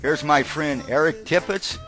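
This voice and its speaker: A man's voice: fairly high pitch, unhurried, a bit bright, soft background music. A man hosting a business webinar, giving a friendly, announcer-like scripted introduction. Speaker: webinar host.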